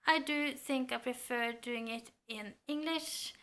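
Slow, bright-voiced Norwegian woman. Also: sits high. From Norway. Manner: thoughtful, hesitant with fillers. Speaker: book vlogger. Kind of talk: casual chat to camera. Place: home room lined with bookshelves. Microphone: camera at close range.

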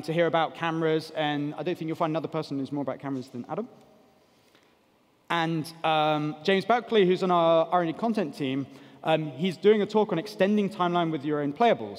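Higher-voiced man speaking in a reverberant hall. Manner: casual, explanatory, peppered with "like" and "kind of". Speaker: conference speaker. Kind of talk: informal technical talk with audience Q&A. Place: large conference hall stage. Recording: big reverberant hall PA sound.

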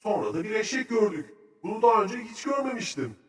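A man's voice: high voice, sing-song, brisk, noisy background. A man voicing an eager, cheerful, studious sidekick in a children's educational cartoon, recapping with enthusiasm. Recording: echoey, slightly distant.

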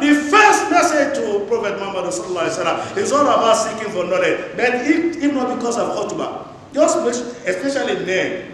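A middle-aged man with a Nigerian English accent giving a Friday sermon in a mosque, swinging between loud exhortation and quiet asides. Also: slight background noise. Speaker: imam. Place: large tiled mosque hall. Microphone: lapel mic. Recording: booming echo of a large hall.

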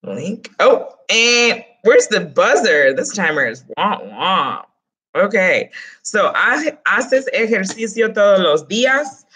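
A woman's voice: mid-range voice, sing-song, slow, a bit bright, husky. A woman in her thirties teaching a Spanish lesson online from a small room at home, speaking with a Dominican accent on the Spanish words and making chatty, joking asides to her students. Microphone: webcam close up.

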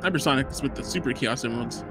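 A young man reacting to a film in casual, slangy, profane commentary, speaking excitably into a close studio mic. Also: mid-range voice, rapid-fire, creaky, faint music behind. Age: young adult. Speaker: movie reaction host. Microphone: close boom-arm studio microphone, headphones.

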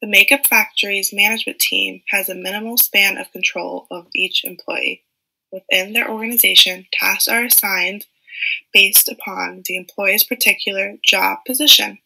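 A young woman reading a scripted narration for a school case-study presentation, in a steady, list-like delivery. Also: mid-range voice, normal speed, gravelly, husky, poor audio. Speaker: student presenter.

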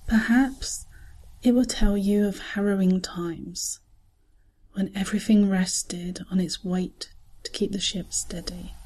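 A woman narrating a scripted guided visualisation in a calm, soothing, soft voice with breathy edges and long pauses. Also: mid-range voice.

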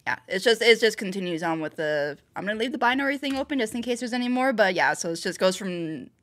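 A woman's voice: medium pitch, lively intonation, fast talker, fairly bright tone. A woman hosting a reaction video, thinking aloud in rambling, casual commentary with bursts of exclamation.

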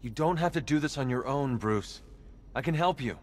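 An actor playing a concerned young sidekick in a video game, earnest in delivery. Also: male voice, medium pitch, animated, moderate pace, slight background noise, close to an everyday voice. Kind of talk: video game dramatic acting.

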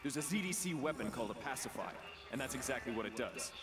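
An actor voicing an earnest, dutiful government agent in a video game, restrained in manner. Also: male voice, mid-range voice, expressive, fast talker, natural voice. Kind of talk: video game cutscene acting.

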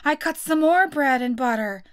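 A woman's voice: sits high, expressive, normal speed, a bit bright. A woman voicing a nervous, wretched male hatter in a storybook, trembling and pleading hesitantly.

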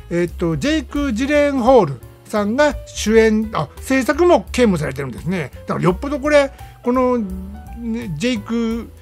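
A man with a Kansai accent hosting a radio show, chatting casually and emphatically as he reviews films in a monologue. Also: high-pitched. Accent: Kansai Japanese. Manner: chatty, emphatic, peppering phrases with "ne".

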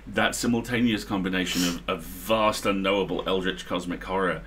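A middle-aged man co-hosting a casual discussion, building his points in halting, repeated phrases. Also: sits low, fairly even intonation, fairly bright tone. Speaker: livestream co-host. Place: small room at home, indoors. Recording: noisy livestream room sound.

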